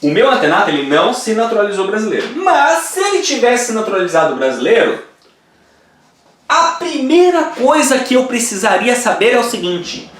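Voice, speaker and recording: A man's voice: high voice, big pitch swings, moderate pace, a bit bright, reverberant. A man in his forties from Brazil, teaching an online course at a whiteboard and often checking that the viewer is following. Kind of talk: explaining at a whiteboard. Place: indoor room with a whiteboard.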